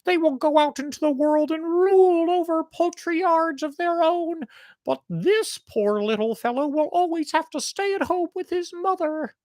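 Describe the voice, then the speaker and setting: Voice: very high-pitched, normal speed, darkish tone, no echo, pitched above the performer's own voice. A storyteller voicing an anxious, doting mother hen in a storybook tale, with a fretting, plaintive manner. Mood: anxious, pitying.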